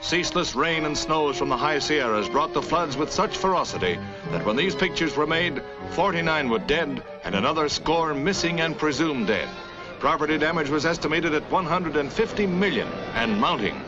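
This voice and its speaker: A man's voice: a little high, animated, normal speed. Dramatic 1950s American newsreel announcer, male. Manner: dramatic, declamatory announcer delivery. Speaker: newsreel narrator. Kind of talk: 1950s newsreel scripted narration. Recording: dull old film soundtrack, music underneath.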